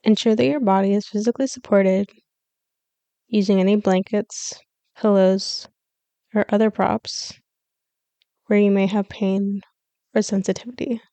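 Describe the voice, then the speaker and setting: Mellow, even-voiced woman guiding meditation. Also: medium pitch, smooth, no room sound. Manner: calm, soothing, measured instruction with pauses. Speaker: yoga nidra meditation guide. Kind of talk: guided meditation, scripted.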